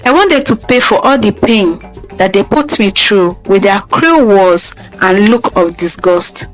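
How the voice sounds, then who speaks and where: A woman's voice: mid-range voice, expressive, unhurried, faint music behind, natural voice. A woman voicing a rejected young village woman in a folktale, speaking in an emotional, imploring way. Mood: bitter. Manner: emotional, pleading.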